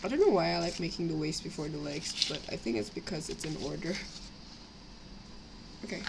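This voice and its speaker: A young woman with a slightly raspy voice from a cough, rambling casually as she chats on a live stream while building models. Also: sits low, brisk. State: sick with a cough, itchy throat. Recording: clear background noise, home livestream.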